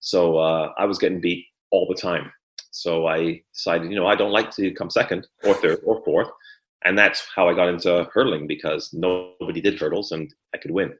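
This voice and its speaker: Deep-voiced man in an echoey room. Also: steady tone, moderate pace, faint music behind. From Guyana, raised in England. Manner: easygoing, repeats words when starting sentences. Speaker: former Olympic hurdler and trainer, guest. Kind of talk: conversational interview.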